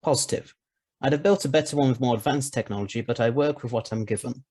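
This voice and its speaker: A man's voice: mid-range voice, fast talker, a little mellow, husky, natural voice. A man playing an eccentric, confident scientist time traveller in an audio drama, speaking articulately with a mildly superior air. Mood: assured.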